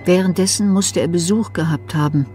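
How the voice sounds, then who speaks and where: A woman narrating a radio play from a script in a measured, matter-of-fact way. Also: on the low side, mellow, soft background music.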